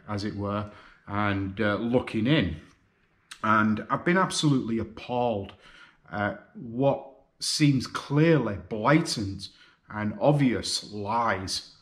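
A man in his fifties with a Lancashire accent, giving a personal video statement from his living room, earnest and halting with many fillers. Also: fairly low pitch, a bit bright. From North West England. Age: 50s. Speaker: video contributor. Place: living room, seated on a sofa.

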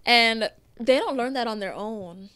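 A woman from Jamaica hosting a podcast, chatty and quick to laugh in casual conversation. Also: a little high, a bit bright, clear, resonant tone. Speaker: podcast host. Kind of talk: casual chat.

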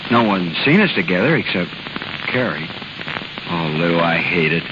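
An actor playing a boyish young carnival lad with slangy speech, in 1930s radio drama style. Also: male voice, sits low, lively intonation, normal speed. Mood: lovestruck. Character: sheepish, lovestruck young carnival lad, evasive son. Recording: scratchy, dull 1930s radio broadcast.

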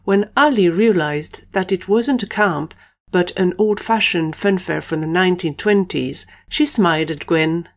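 A woman's voice: on the low side, unhurried. A woman reading scripted narration for an audio adventure story.

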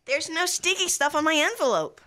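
A man's voice: very high voice, animated, brisk, pushed and bright, a little hiss or hum, exaggerated voice. A teenage male actor playing a cheeky young boy in old-time radio comedy style. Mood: cheeky, innocent. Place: school library, before a small live cast. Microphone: standing stage microphone.

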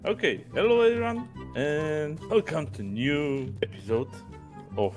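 A young man with a Slovak accent, talking haltingly and searching for English words while gaming. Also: on the high side, sing-song. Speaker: co-host gamer. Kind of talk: casual gameplay chat. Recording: music playing over the voice.

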